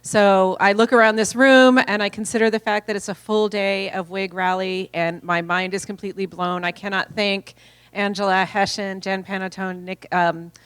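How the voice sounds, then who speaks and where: A woman's voice: medium pitch, flat delivery, moderate pace, fairly bright tone, smooth, dry recording. A middle-aged woman giving casual, enthusiastic welcoming remarks at a conference lunch, with plenty of fillers. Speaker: event speaker.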